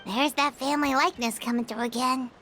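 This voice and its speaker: A woman voicing a small, excitable, childlike fairy companion in a video game. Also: high voice, a little fast, soft background music. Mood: chirpy. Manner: excitable, speaks of self in third person.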